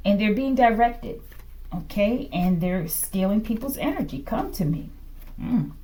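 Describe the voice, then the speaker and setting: A woman hosts an off-the-cuff tarot reading. Her medium-pitched voice moves up and down a lot. She talks in broken, musing fragments, partly to herself, and often closes a thought with a brief questioning check-in. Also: lively intonation, measured pace, mellow. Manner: fragmented delivery, murmuring to self, often ending phrases with a questioning "okay?". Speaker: tarot reader, host. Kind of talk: improvised tarot reading to camera.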